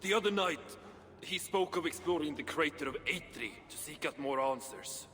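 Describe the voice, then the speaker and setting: Worried dwarf, sharp-toned, earnest and careful, game cutscene, long echo, faint noise. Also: male voice, a little high, lively intonation, moderate pace. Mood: troubled. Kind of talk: dramatic video game acting.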